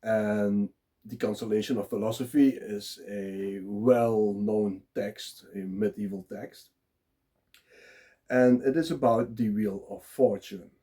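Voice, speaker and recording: Slow, halting Dutch-accented man. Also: lowish voice, steady tone, dark tone. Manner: halting, many filler sounds. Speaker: blogger. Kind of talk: unscripted solo talk.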